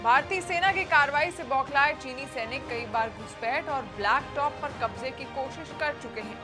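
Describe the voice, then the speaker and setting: A woman's voice: high voice, moderate pace, over loud music. A woman presenting scripted news in a formal, emphatic delivery.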